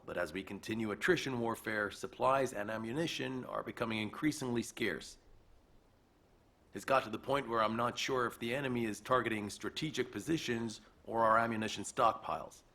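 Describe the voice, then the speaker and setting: A practical military engineer in a video game. His voice is mid-pitched and noticeably husky, and he argues in a matter-of-fact, skeptical manner. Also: a bit bright. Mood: businesslike, concerned.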